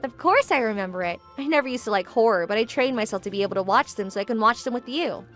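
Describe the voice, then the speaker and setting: A woman's voice: fairly high pitch, expressive, fast, a bit bright. A woman playing a composed, forward young businesswoman in a manga dub, speaking politely and matter-of-factly. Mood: playful, cheerful. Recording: background music under the voice.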